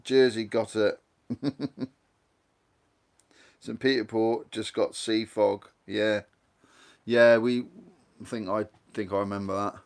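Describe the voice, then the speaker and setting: A man's voice: on the low side, steady tone, slow, pauses often, clean tone. A man with a Guernsey accent hosting a casual live stream, rambling along and reading viewer comments aloud as he chats. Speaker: livestream host.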